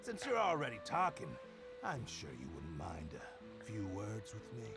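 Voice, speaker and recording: A man playing a belligerent, taunting debt-collector thug with a gravelly voice in a video game. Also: fairly low pitch, wildly swooping pitch, a little slow, a bit bright, full character voice. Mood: mocking, menacing. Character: blustering male debt-collecting gang thug, mocking and indignant. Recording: game music under the voice. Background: game music.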